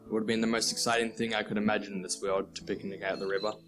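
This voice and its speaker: A man's voice: on the low side, flat delivery, very fast, over music, lightly acted. A man playing an earnest, dutiful Australian schoolboy in a nostalgic radio play. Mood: wistful. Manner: earnest, exasperated.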